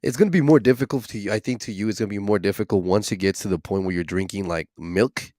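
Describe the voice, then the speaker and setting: Casting: laid-back male co-host, deep voice a little hoarse, dry close sound. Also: fast, a little mellow, no echo. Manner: laid-back, swears freely. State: slightly hoarse after illness. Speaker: podcast co-host. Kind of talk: casual chat.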